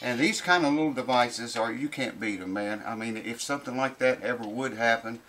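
A middle-aged man giving a casual hands-on review to camera, informal and unhurried, thinking aloud as he goes. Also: mid-range voice, fairly bright tone, a little hiss or hum. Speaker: product reviewer. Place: small cluttered indoor workshop room.

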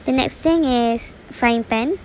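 A woman from Malaysia narrating a casual product unboxing in a plain, unhurried way, naming each item one by one. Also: a little high, darkish tone, a little hiss or hum, rough recording. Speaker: unboxing reviewer. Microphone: voice-over, speaker not seen.

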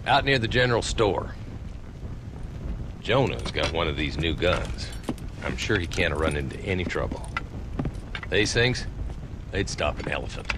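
Deep-voiced old frontier marshal. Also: male voice, animated, measured pace, pauses often. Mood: weary, concerned. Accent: American. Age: older. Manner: terse, understated. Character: weary, stoic old frontier town marshal. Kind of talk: video game cutscene acting. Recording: clear ambient background noise.

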